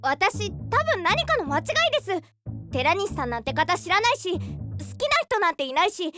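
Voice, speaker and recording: A woman playing an earnest, easily flustered high-school girl in a radio drama. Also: sing-song, moderate pace, sharp tone, soft background music, exaggerated voice. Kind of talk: radio drama acting with inner monologue.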